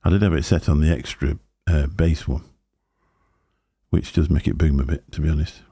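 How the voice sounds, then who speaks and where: Deep gravelly man, chatty. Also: steady tone, normal speed, warm tone. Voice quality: deep booming voice. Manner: rambling, chatty, chuckling to himself. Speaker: live stream host. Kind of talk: casual live stream chat. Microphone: desk microphone through a mixer.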